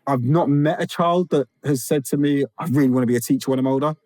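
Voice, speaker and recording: A man's voice: medium pitch, quick pace, a little mellow, no echo. A man from England speaks as a podcast guest, thoughtful and searching, circling back to rephrase before landing his point. His voice sits in a middle register. The sound is close and dry, with no room around it. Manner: reflective, with restarts and rising emphasis. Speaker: guest, author and teacher. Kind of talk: conversational interview.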